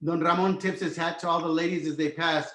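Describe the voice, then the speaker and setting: Higher-pitched man narrating in echoey room. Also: steady tone, a little fast, fairly bright tone. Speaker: narrator reading stage directions. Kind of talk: scripted narration, screenplay table read. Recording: roomy, echoing home recording.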